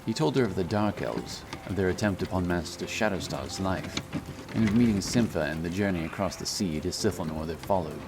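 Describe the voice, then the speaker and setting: A man narrating a fantasy audiobook in a measured, solemn, dramatic storytelling style. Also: low voice, background noise. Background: background music and sound effects.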